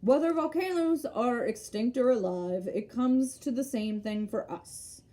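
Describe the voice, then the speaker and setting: A woman's voice: on the high side, measured pace, warm tone, natural voice. A woman voicing a self-important old male geographer from a storybook, lecturing with pedantic emphasis. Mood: matter-of-fact.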